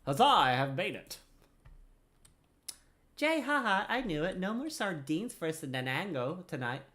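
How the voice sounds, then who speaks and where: A man voicing an excitable, chatty video game quest-giver who brags cheerfully. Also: high voice, unhurried, pitched above the performer's own voice, exaggerated voice. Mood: gleeful, chatty. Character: excitable quest-giver bragging about a won wager.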